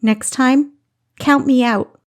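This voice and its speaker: A woman's voice: sits high, steady tone, slow pace, voice pushed up. A woman voicing a headstrong young noblewoman in an audiobook, curt, frosty and hurt. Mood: bitter. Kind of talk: audiobook character acting.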